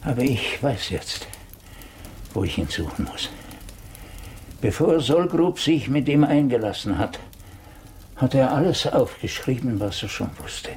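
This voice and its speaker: A man acts a cultivated doctor turned investigator in a German radio play. He explains things formally and with deliberation, his pitch moving freely up and down. A faint noise sits behind the voice. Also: animated, unhurried, long pauses, darkish tone, close to an everyday voice. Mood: grave, urgent. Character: educated gentleman investigator, a doctor, measured and deliberate. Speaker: radio drama actor.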